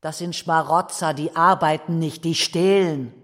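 An actress playing an outraged, idealistic young journalist making a theatrical, impassioned plea in a radio play. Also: female voice, low-pitched, fairly even intonation, a little slow, a bit bright. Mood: bitter indignation. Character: outraged young journalist woman, passionate idealist pleading to a courtroom. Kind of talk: German radio play monologue. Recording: reverberant hall, music underneath. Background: music.

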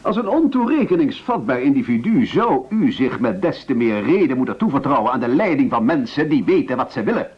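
A pompous leader in an absurdist radio drama. He is coldly domineering and mock-polite, with a husky, very animated delivery. The sound is dull, like an old broadcast. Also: medium pitch, a little fast, hardly any pauses. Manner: pompous, imperious, mock-civil. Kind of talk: absurdist radio play acting. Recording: muffled old radio play recording.

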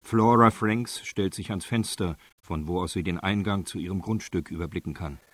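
A man reading scripted narration for a radio drama in a factual, measured way. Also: deep voice, dry recording.

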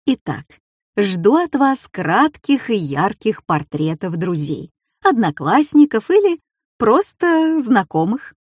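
A woman voicing a composed, authoritative schoolteacher in a children's story, with measured, instructive delivery. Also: medium pitch, very animated, darkish tone, slightly rough, no echo, clean studio sound, heavily acted.

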